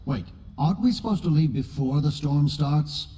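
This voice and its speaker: Wry rogue in a reverberant space. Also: male voice, a little high, expressive, normal speed, dark tone, breathy edge. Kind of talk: video game character acting. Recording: game audio with long reverb, faint noise.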